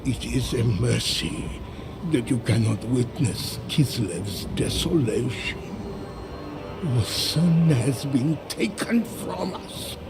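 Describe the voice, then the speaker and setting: An actor playing a fanatical, anguished cult patriarch in a video game cinematic, gravelly, brooding and theatrical. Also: male voice, medium pitch, takes it slowly, over music. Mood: mournful. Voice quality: gravelly, creaky. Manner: theatrical, brooding, swelling with fervour.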